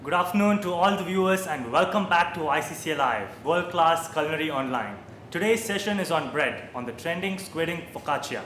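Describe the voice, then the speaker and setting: A man's voice: on the high side, animated, fairly quick, fairly bright tone. A man formally announcing and welcoming viewers to a live webinar. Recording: echoey room from far off with faint noise.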